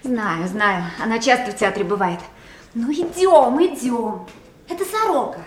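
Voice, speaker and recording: A woman's voice: fairly high pitch, lively intonation, a little slow. An actress in an archival radio play as a knowing woman hurrying a friend through backstage. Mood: brisk guide. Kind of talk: 1970s radio play acting. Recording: echoey archival tape with hiss.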